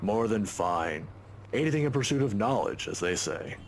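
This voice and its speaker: A man's voice: fairly low pitch, animated, normal speed, a little hiss or hum. A man voicing a kindly, teasing older professor in a visual novel, with an avuncular, politely chatty manner. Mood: curious, amiable.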